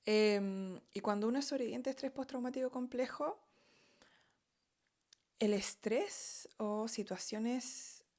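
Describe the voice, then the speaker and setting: A woman's voice: fairly high pitch, darkish tone. A middle-aged woman from Chile with a Chilean Spanish accent, telling her own story in a candid, chatty monologue, often checking in with "¿ok?".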